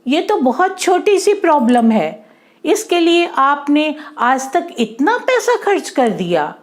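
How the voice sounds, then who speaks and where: Children's storybook role: clever young boy played by a woman, high, animated, questioning, confident, unhurried. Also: exaggerated voice. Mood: surprised, explaining.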